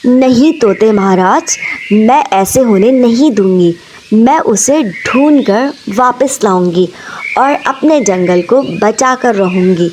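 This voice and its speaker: A woman's voice: fairly high pitch, slow, a little mellow, a little hiss or hum. A woman voicing a determined, brave little female sparrow in a cartoon story, speaking earnestly. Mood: resolute. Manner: resolute, earnest.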